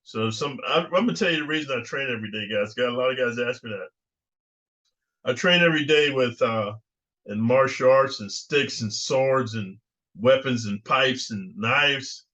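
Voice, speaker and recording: A man's voice: mid-range voice, normal speed, pushed and bright. An older man hosting his own channel, talking in an assertive, rambling way with lots of fillers and restarts. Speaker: YouTube channel host. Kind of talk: casual commentary monologue. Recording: muffled lo-fi recording.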